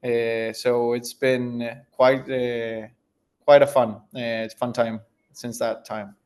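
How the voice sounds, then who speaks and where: A man's voice: medium pitch, flat delivery, takes it slowly, a little mellow. A man, answering interview questions as a tech founder in a thoughtful way, searching for words as he goes. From Argentina. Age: 30s.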